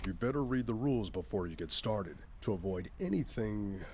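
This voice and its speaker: A man's voice: lowish voice, lively intonation, moderate pace. A man playing a stern, swaggering city cop in a video game, with a folksy, menacing, slangy way of warning people. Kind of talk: scripted video game cutscene. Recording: clear background noise.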